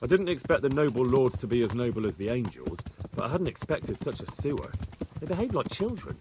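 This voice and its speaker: An actor playing an earnest young commoner who asks plain questions of a noble companion in a video game. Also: male voice, mid-range voice, sing-song, fairly quick, background noise, natural voice. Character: earnest young commoner squire, bitter about past. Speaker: game protagonist. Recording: game audio over horse hooves. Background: horse hooves clip-clopping.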